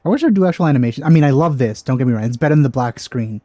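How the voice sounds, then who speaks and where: A man's voice: medium pitch, animated, rapid-fire, mellow, no room sound. A man giving casual let's play commentary in offhand, dry asides. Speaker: gaming YouTuber.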